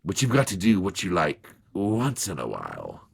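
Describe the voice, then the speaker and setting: A man's voice: low voice, huge pitch swings, normal speed, creaky, husky, exaggerated voice. A man voicing a testy, officious supernatural scissors grinder in a dramatized story, drawing out his words in a businesslike way.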